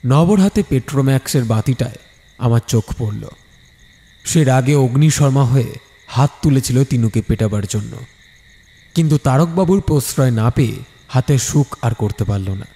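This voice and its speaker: A man's voice: medium pitch, expressive, normal speed, mellow. A man narrating a scripted story in the first person, in a dramatic storytelling style. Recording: long studio reverb, music behind. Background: background music.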